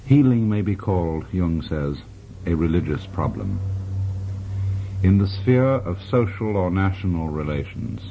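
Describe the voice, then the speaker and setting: A middle-aged man on a 1960s radio show, reading a philosophical text aloud in a measured, reflective way with long pauses. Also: low voice, sing-song, vocal fry, a little hiss or hum. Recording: dull lo-fi 1960s radio recording.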